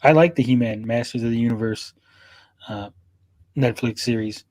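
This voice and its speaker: A man speaking as a podcast panelist in a casual discussion, in a hesitant, measured manner. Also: mid-range voice, darkish tone, no room sound.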